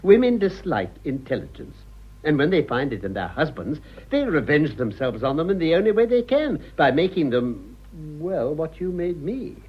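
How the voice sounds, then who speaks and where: An actor playing an urbane, cynical older British gentleman in a radio drama, with dry, suave irony. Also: on the high side, big pitch swings, normal speed, slightly rough. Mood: wry. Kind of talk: radio drama acting of a stage comedy. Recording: dull lo-fi radio drama recording.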